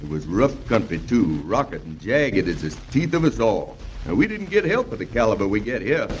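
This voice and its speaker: A man's voice: fairly low pitch, very animated, moderate pace. A man playing a hearty, modest middle-aged American bridge engineer in an old children's radio serial, folksy and fatherly with hesitant turns. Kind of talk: 1930s-40s children's radio serial acting. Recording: muffled old radio broadcast with hiss.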